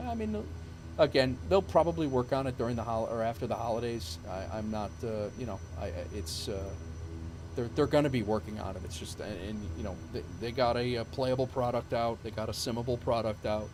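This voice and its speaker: A man's voice: medium pitch, a little fast, darkish tone, a little hiss or hum. A man streaming live, chatting casually and rambling with many fillers and restarts, his voice starting to go. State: losing his voice.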